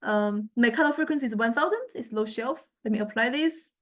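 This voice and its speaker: A woman lecturer with a fairly high voice walks through a software demonstration. She talks informally and thinks aloud, often stopping and correcting herself as she explains each step. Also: brisk, slight background noise. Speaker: music technology lecturer.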